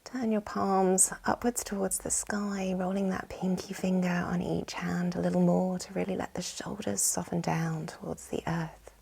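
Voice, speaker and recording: A woman with a soft, breathy, whispery voice, soothingly guiding a yoga nidra relaxation with gentle instructions. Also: fairly low pitch, little pitch movement, moderate pace, hardly any pauses. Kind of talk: guided relaxation meditation.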